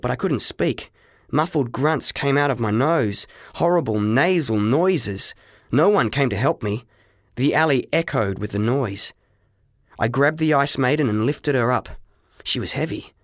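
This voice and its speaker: A male storyteller reads a first-person story in a dramatic, expressive way. His voice sits at a middling pitch. The sound is very dry, with little room around it. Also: no echo. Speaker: audiobook narrator.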